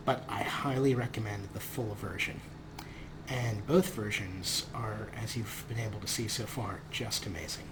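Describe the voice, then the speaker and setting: A man hosting a let's play with casual, playful commentary, often talking to the game's characters. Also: medium pitch. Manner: playful, talks to game characters. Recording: clear game ambience noise.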